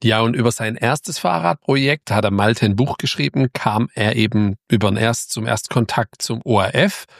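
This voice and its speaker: A man's voice: medium pitch, moderate pace, gravelly, few pauses, dry recording, studio quality. A man hosting a podcast, opening the episode and interviewing his guest in a casual, informal way. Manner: chatty, informal, with frequent restarts. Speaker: podcast host.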